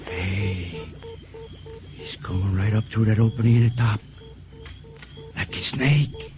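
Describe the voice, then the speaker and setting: An actor playing an excitable, frightened deputy on night watch in a 1950s radio drama. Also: male voice, on the low side, slow. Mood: alarmed. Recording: dull old radio recording, loud music.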